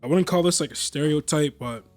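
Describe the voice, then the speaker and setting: A man's voice: a little high, fairly quick, darkish tone, soft background music. A young man co-hosting a podcast, rambling through his thoughts with lots of fillers and calling his friend bro. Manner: rambling, filler-heavy, says bro a lot. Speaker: podcast co-host. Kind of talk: casual chat.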